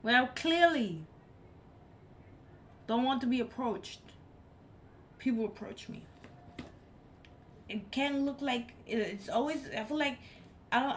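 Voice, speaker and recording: Woman streamer, english not her first language, casual chat, says things twice for emphasis, a bit of faint noise. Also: a little high. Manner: emphatic, repeats herself for effect. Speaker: live streamer.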